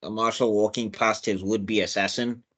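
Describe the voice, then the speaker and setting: A man's voice: on the low side, steady tone, normal speed, fairly bright tone, breathy edge. A man casually recapping a TV episode as co-host, rambling with lots of fillers and restarts. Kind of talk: casual chat.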